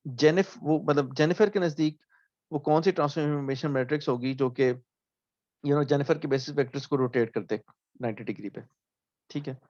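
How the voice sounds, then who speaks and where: A man's voice: on the high side, a little mellow, no room sound. Man explaining, dull dry recording. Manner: explains slowly, often asks "ठीक है?", restarts words. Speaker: lecturer. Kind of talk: recorded math lecture.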